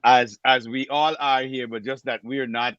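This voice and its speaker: A man co-hosting a casual music industry chat, thinking out loud and repeating words as he goes. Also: medium pitch, fairly even intonation, moderate pace, no room sound, lo-fi sound. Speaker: podcast co-host.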